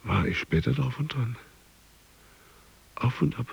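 A man playing a worn-out, embittered office worker in an audio drama, musing in a halting stream of consciousness. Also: mid-range voice, lively intonation, creaky, slightly rough, full character voice. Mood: rambling.

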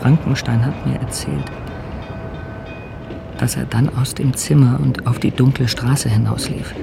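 An actor playing a sombre seafarer who narrates a tale in a radio drama. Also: male voice, medium pitch, steady tone, a little slow, vocal fry, heavy background noise.

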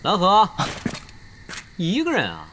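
A man's voice: high-pitched, wildly swooping pitch, slow, background noise, full character voice. An actor playing a sneering, hot-tempered male rival player blustering in an audio drama. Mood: sneering, threatening. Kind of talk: Chinese audio drama acting. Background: loud background music.

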